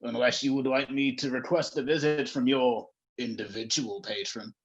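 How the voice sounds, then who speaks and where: A man's voice: mid-range voice, fairly even intonation, moderate pace, breathy edge. A man as a solemn, formal spirit patron, muffled. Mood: stern, formal.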